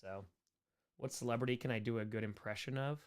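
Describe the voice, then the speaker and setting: A man's voice: medium pitch, brisk, clear, resonant tone. A man hosting a casual livestream Q&A, joking and repeating short phrases as he goes. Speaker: streamer hosting a live Q&A.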